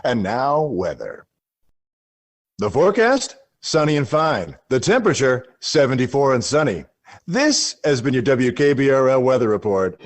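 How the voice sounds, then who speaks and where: An actor playing an unhinged, giddy radio news and weather man who talks haltingly and repeats himself in a parody report. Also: medium pitch, big pitch swings, a little hiss or hum, close to an everyday voice. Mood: forced cheer. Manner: halting, repetitive, breaking into manic confusion.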